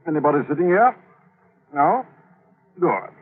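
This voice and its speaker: A man's voice: fairly high pitch, sing-song, a little slow, narrow, tinny audio. An actor playing a chatty, nosy traveling salesman in a 1940s radio drama, folksy and clipped. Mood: breezy. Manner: folksy, clipped sentences, intrusively friendly. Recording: thin, noisy 1940s radio recording.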